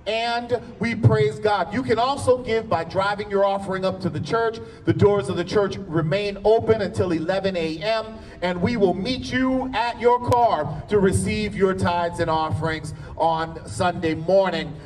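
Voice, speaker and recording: High-voiced man praying outdoors, distant. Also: darkish tone. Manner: measured, fervent prayer cadence. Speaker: pastor. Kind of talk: church prayer and announcements. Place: outdoor church parking lot service. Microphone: handheld mic at a podium, filmed by a far camera. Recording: distant outdoor mic with faint ambience.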